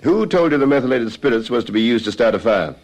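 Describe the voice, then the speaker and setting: An actor playing a calm, methodical police detective in a radio crime drama, questioning in a measured, formal way. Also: male voice, mid-range voice. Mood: probing, stern.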